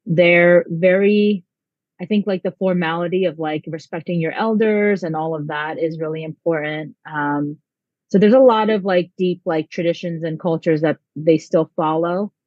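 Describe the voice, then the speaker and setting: A young woman from the States answers interview questions in an easygoing, rambling way, filling pauses with "um" and "like". Her voice comes through a dull, lo-fi channel. The sound is dry, with no room around it. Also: on the low side, dry recording. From United States. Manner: chatty, lots of um and like. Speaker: volleyball head coach, guest.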